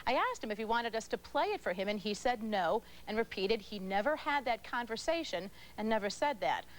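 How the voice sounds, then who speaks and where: A woman's voice: a little high, animated, brisk, low-quality recording. A woman with an American accent delivering a broadcast news report as a television reporter.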